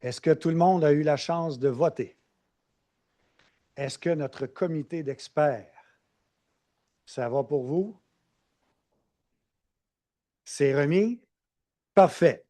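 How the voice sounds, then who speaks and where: Un homme anime une journée scientifique et présente les intervenants sur un ton formel et cérémonieux. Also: male voice, fairly high pitch, slow, long pauses, mellow. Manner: formal, ceremonious introductions. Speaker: event emcee. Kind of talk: formal event hosting. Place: conference hall.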